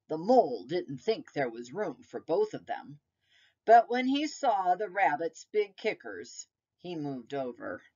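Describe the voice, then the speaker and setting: A woman reading a picture book aloud to young children like a preschool teacher, with deliberate, expressive storytelling phrasing. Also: on the low side, slightly rough, highs cut off.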